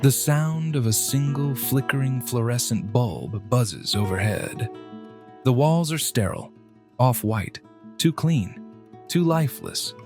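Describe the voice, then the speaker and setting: A man narrating a scripted horror drama in measured, broken phrases with dramatic pauses. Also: mid-range voice, lively intonation, over loud music.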